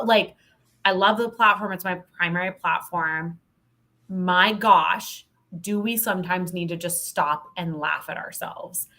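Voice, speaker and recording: Bright, forceful American woman guest. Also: mid-range voice. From Montana, United States. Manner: playful, candid, emphatic. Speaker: podcast guest, sales professional. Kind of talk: casual interview chat.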